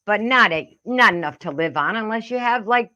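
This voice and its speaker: A woman's voice: mid-range voice, lively intonation, normal speed, bright, forceful tone, dull audio. A middle-aged woman giving casual, profane reaction commentary in a blunt, indignant, scolding manner. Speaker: reaction video host.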